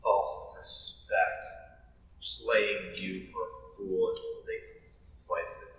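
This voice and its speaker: A man playing a menacing, vengeful would-be wizard in a fantasy role-play, speaking in a grim, pompous, deliberate way. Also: sits low, fairly even intonation, a bit bright. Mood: cold, menacing. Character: menacing, vengeful would-be wizard who demands fearful respect. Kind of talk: improvised tabletop fantasy role-play acting. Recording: echoey room with long reverb.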